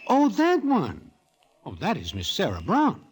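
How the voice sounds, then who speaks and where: An actor playing a streetwise gambler's sidekick and storyteller in an old-time radio comedy, with a New York accent and stilted, formal slang delivered deadpan. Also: male voice, sits high, a little slow, breathy edge, heavily acted.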